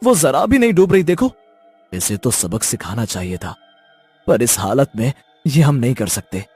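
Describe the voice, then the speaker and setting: A man's voice: mid-range voice, sing-song, normal speed, darkish tone, husky, soft background music. A man playing distrustful, alarmed village men in a cartoon, with emphatic and urgent dramatic delivery. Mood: accusing.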